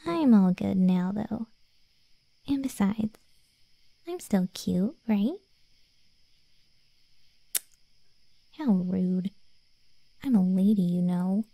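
Woman doing a cheeky zombie catgirl for asmr roleplay, very mellow n breathy, unhurried, teasing. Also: mid-range voice, expressive, no room sound, full character voice. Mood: cheeky, cajoling. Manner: soft and breathy, often near whispering, slangy, teasing. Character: cocky, cheeky undead cat girl, cute and annoying.

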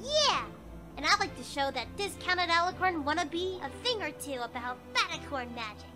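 A woman voicing a spirited, feisty young female royal in an animated drama, frank in manner. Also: very high voice, moderate pace, music in the background. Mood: fired up.

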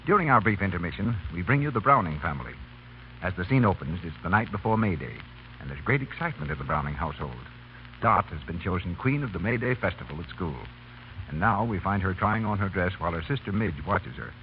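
A man's voice: low-pitched, lively intonation, quick pace. A man announcing and advertising in the polished style of 1930s radio. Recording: muffled, noisy 1930s radio broadcast.

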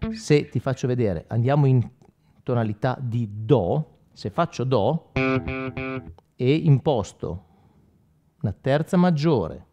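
Male, forties, gear demonstrator. Mellow tone, lively intonation, informal and explanatory. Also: mid-range voice, muffled recording. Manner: conversational, explanatory, with frequent check-in tags. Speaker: guitarist demonstrating effects pedals. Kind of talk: informal product tutorial. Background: electric guitar playing.

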